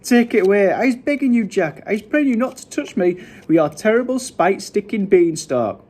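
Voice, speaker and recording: A man voicing a huge man-eating giant from a storybook, loud and shrieking, wailing pleas in broken grammar. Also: high voice, animated, moderate pace, voice pushed up. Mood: desperate begging. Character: huge man-eating male giant, panicking, screaming and begging.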